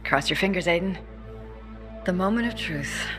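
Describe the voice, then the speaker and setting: A woman's voice: on the low side, lively intonation, normal speed, natural voice. Earnest woman survivor played by an actress, music behind. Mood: subdued, resolute. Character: grieving, determined woman survivor in a post-apocalyptic world. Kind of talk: video game cutscene acting.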